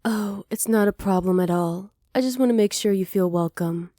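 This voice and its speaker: A woman playing a flirty, hospitable witch in an ASMR roleplay, soft-spoken and teasing, sly and self-assured, sometimes dropping toward a whisper. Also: mid-range voice, normal speed, dry recording, lightly acted. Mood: welcoming. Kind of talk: ASMR roleplay, slow scripted acting.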